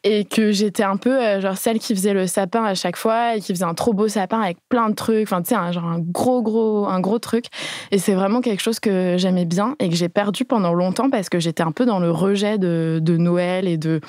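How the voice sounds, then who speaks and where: Lively French woman in her thirties. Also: mid-range voice, brisk, no room sound, studio quality. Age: 30s. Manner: lively, hesitant with many fillers. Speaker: podcast guest. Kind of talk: casual interview chat.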